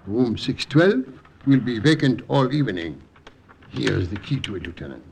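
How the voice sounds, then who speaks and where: A man's voice: mid-range voice, big pitch swings, moderate pace, creaky, a little mellow, slight background noise. An actor playing a discreet, loyal old Frenchman at a hotel desk, courteous and knowing, with a French accent, in 1940s-50s radio drama style. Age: older.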